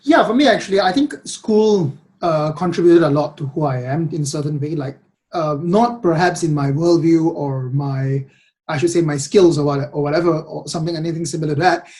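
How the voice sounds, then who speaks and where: A man's voice: on the high side, brisk, a little mellow. Hesitant young man hosting a podcast. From Malaysia. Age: young adult. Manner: hesitant, many restarts and ums. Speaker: podcast host. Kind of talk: casual podcast chat.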